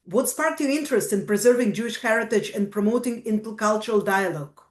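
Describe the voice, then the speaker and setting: A woman hosting a podcast, reading a formal introduction and asking questions in a measured way. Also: on the high side, roomy sound. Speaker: podcast host.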